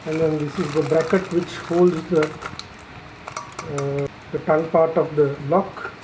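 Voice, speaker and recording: A person giving an unscripted, explanatory product demonstration in a halting, matter-of-fact way, pausing mid-phrase. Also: mid-range voice, fairly even intonation, noisy background. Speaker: installer presenting a product tutorial.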